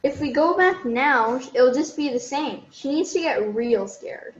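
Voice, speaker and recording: A woman's voice: high voice, lively intonation, a little slow, reverberant, muffled recording. A woman playing a blunt, fed-up teenage girl camper in an amateur radio play. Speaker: student radio play performer.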